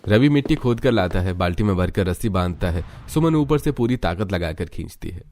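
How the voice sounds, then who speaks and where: A man's voice: sits low, animated, brisk, darkish tone. A man narrating a scripted cartoon story as its storyteller.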